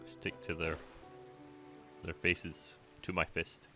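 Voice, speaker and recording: A man's voice: low voice, completely flat delivery, takes it slowly, over music. A man streaming a video game, giving casual commentary with joking, rambling asides.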